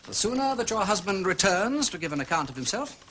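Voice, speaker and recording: An actor playing an urbane aristocratic gentleman sleuth with an upper-class English accent, drawling and probing with theatrical understatement in a radio drama. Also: high-pitched, big pitch swings, brisk, a little hiss or hum, exaggerated voice. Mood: grave warning.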